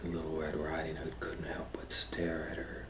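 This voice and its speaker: A man reading a children's story aloud as host, dramatically, moving between hushed and loud. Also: very deep voice, completely flat delivery, moderate pace, in a whisper. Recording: faint background noise.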